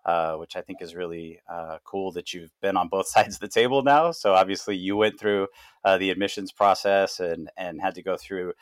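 Male podcast host, deep voice, dry close sound, unhurried filler-heavy delivery. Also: no echo. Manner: frequent "uh" fillers, measured. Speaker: podcast host, founder of consulting firm. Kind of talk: casual interview.

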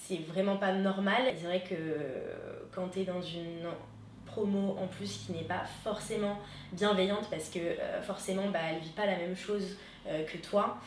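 Hesitant woman telling her story, echoey room. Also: mid-range voice. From Algeria. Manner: hesitant, many pauses and "euh". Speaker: interviewee giving testimony. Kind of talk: candid conversational testimony. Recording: roomy echo, faint background noise.

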